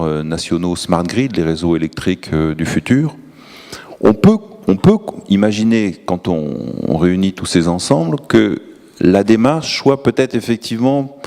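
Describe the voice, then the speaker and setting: Deep-voiced man, hesitant, echoing hall. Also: mellow. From Tours, France. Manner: hesitant, many fillers and restarts. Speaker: energy syndicate official on a panel. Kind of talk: conference panel remarks. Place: large conference hall. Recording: long hall reverb.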